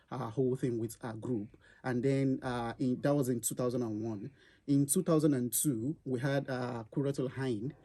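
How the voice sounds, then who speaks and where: A young man talks in a mellow, warm tone at an ordinary pitch. He speaks with frequent pauses and fillers, like a student introducing himself on a campus radio chat show. His manner is hesitant but steady. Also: breathy edge. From Nigeria.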